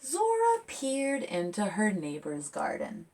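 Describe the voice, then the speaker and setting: A woman children's author talking and reading a picture book aloud for young listeners in an expressive, engaging way, as if asking them along. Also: mid-range voice, slow, distant mic.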